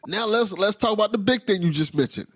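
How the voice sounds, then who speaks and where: A man hosting a podcast interview, relaxed and supportive, asking short questions. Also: high voice, quick pace, husky, no room sound, clean studio sound. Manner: laid-back, brief affirmations. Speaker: podcast host.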